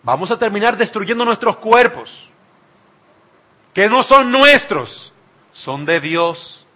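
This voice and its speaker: A man's voice: high-pitched, expressive, slow pace, pushed and bright. A man from the Dominican Republic, speaking with a Dominican Spanish accent, preaching an emphatic sermon and repeating phrases for effect.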